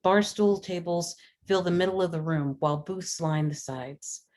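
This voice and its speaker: A woman's voice: lowish voice, normal speed, a little mellow. A woman reading scripted stage directions aloud at a script table read. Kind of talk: scripted narration of screenplay action.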